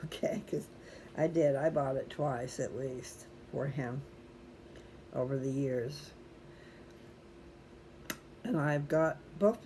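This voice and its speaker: A mellow, relaxed voice with a folksy way of talking to viewers. The host rambles casually from one aside to the next, over a faintly noisy home-style recording. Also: mid-range voice. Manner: chatty, folksy, digressive. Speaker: host reading scripture aloud for viewers.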